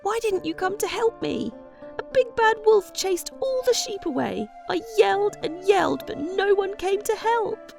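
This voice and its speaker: A woman voicing a mischievous young shepherd boy in a children's storybook, calling out urgently in a high voice. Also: lively intonation, normal speed, vocal fry, over music, full character voice. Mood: upset, pleading.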